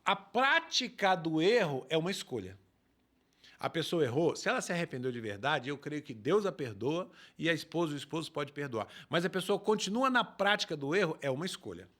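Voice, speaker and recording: A man, a pastor explaining scripture in an emphatic, lively talk-show style. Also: fairly high pitch, brisk.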